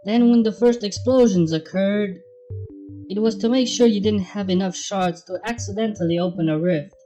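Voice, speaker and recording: A woman's voice: mid-range voice, normal speed, dark tone, faint music behind, lightly acted, rough recording. A woman voicing a blunt, world-weary pony with a dry manner in a fan story reading. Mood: matter-of-fact.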